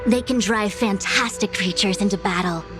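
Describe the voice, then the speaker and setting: A woman voicing a proud, dutiful diviner for a video game, speaking in a formal, measured, articulate way. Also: medium pitch, natural voice. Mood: instructive. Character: proud, dutiful female diviner, youthful-sounding commander and lecturer. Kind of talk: English video game voice acting. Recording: music behind the voice.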